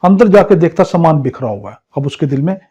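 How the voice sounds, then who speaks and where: A man's voice: on the high side, lively intonation, fairly quick, mellow, breathy edge. A man with a Pakistani accent lecturing as a law teacher in an exam crash course, drilling points by repetition and rhetorical questions. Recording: dull, lo-fi muffled audio.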